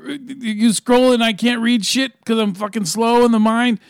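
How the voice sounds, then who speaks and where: A man's voice: extremely high pitch, steady tone, normal speed, gravelly, clean tone, dry recording, clean studio sound. A man reading a script aloud, voicing a cranky, belligerent old scientist who sneers and insults. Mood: contemptuous.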